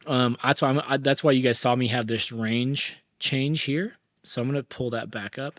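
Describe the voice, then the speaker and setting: Hoarse man with a cold, chatty. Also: medium pitch, no room sound, clean studio sound. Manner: chatty, many fillers and restarts. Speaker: mixing engineer tutorial host. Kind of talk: casual screen-recorded tutorial.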